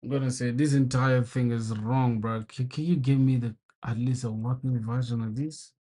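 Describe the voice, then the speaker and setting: A young man from South Sudan streams himself coding, talking loosely to his viewers. He swears freely and vents when things break, then turns friendly and calls people bro. His pitch sits in an ordinary middle range for a man. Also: steady tone, moderate pace, dark tone. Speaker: programming livestreamer. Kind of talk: casual live coding stream.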